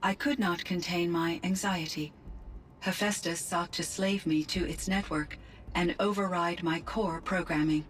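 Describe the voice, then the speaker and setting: A woman voicing a gentle, courteous artificial intelligence in a video game, with precise, measured, formal diction. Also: lowish voice, lightly acted, rough recording.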